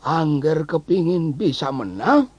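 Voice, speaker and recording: A gentle old grandfather from a fairy-tale audio drama. The husky voice takes its time and rises and falls freely, with murmured, questioning hums. The recording is dry and slightly dull. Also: fairly high pitch, big pitch swings, takes it slowly, no echo, exaggerated voice. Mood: curious, gentle. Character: kind old grandfather sage who rewards honesty.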